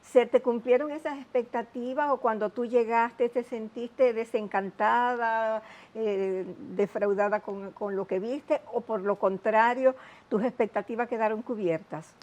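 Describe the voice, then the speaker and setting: A woman hosting a TV health interview show, speaking in a measured, explanatory way with many asides. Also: on the high side, steady tone, a little mellow, muffled recording.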